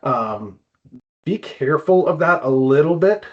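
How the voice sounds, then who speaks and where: A man speaks as an expert guest in an informal interview. He explains things conversationally, haltingly, circling back over his words. The audio is somewhat dull and lo-fi. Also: on the high side, expressive. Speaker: guest climbing wall consultant.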